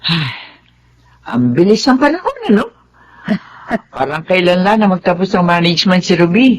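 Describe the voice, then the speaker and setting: A woman's voice: lowish voice, very animated, moderate pace, slight background noise. An actress playing a kindly, chatty woman friend in a Tagalog radio drama. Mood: curious, chatty. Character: kindly, supportive married female friend, chatty.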